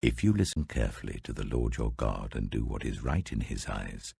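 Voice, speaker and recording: A British man voicing a grave, commanding, almighty God in a scripture reading, slow and weighty. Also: very deep voice, animated, creaky, warm tone, slightly rough, no room sound, pitched below the performer's own voice, exaggerated voice. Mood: solemn, commanding.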